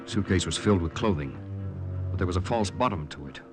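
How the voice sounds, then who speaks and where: An actor playing an earnest young Allied secret agent in a 1940s radio drama, polite and dramatic. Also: male voice, sits low, lively intonation, brisk. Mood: grave, reflective. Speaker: narrator and lead actor. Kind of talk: 1940s radio drama, first-person narration and dialogue. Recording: 1940s radio recording with music.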